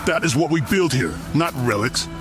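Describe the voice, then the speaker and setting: An actor playing a stern, ruthless alien commander in an audio drama, speaking in a grave, measured, oratorical way. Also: male voice, on the high side, animated, over music, lightly acted. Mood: resolute. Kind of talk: scripted audio drama acting.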